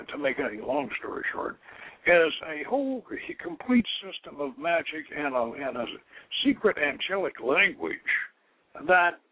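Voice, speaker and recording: A man hosting a radio show, explaining things informally in a halting, rambling, scholarly storyteller's way over a tinny, narrow phone-like line. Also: fairly high pitch. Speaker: host of an occult radio show.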